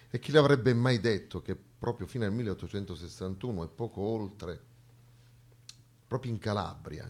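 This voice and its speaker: A man performing an emphatic theatrical monologue, with rhetorical flourishes and dramatic pauses. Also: fairly low pitch, creaky, a little mellow, slightly rough. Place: theatre stage, live performance.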